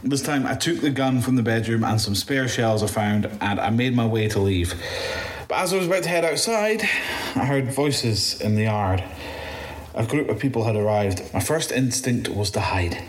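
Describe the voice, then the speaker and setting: A man playing a shaken, bitter apocalypse survivor in an audio drama monologue, halting, stammering and swearing as he recounts his ordeal. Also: medium pitch, expressive, lightly acted. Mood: subdued, relieved. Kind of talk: first-person audio drama monologue, confessional. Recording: roomy echo, faint background noise.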